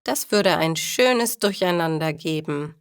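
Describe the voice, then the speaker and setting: A woman voicing a blunt but soft-hearted parent in a storybook, frank and dryly disapproving. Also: deep voice, expressive, measured pace, clean tone, no echo, studio quality. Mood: relenting.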